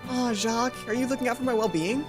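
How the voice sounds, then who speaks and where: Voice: mid-range voice, fast talker, over loud music, voice pushed up, close to an everyday voice. A performer voicing an anxious, eager schoolgirl in a visual novel game, earnest and smitten.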